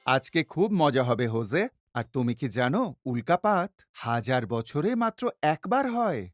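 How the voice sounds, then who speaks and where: A man voicing a sensible, encouraging cartoon companion in a reassuring, expressive way for a children's dub, with music behind him. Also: medium pitch, normal speed, dry recording, lightly acted.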